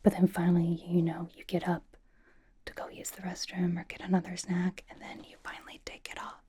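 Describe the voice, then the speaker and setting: A woman voicing a blunt but cozy young female pop star in a breathy, casual, halting ASMR whisper, doting on the listener. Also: fairly low pitch, monotone, dark tone, lightly acted. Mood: matter-of-fact, bossy. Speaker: ASMR roleplay performer. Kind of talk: whispered ASMR personal-attention roleplay.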